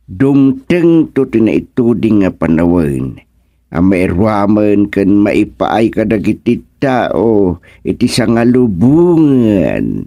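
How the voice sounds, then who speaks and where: A man's voice: on the low side, very animated, slow pace, warm tone, dry recording, heavily acted. A man playing a grumbling, self-important old husband in an Ilocano radio comedy, muttering to himself with frequent exclamations. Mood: musing.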